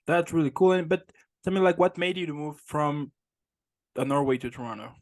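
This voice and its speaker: A man's voice: fairly high pitch, brisk. Effusive young male podcast host. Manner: upbeat and effusive, piling on superlatives. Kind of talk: casual interview chat.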